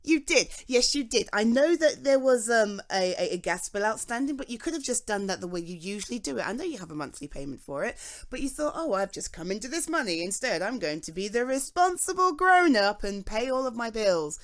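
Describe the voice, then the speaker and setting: A young British woman speaking to a friend in an intimate ASMR roleplay, chatty and reassuring, with frequent soft laughs. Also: fairly high pitch, sing-song, brisk, hardly any pauses. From Britain.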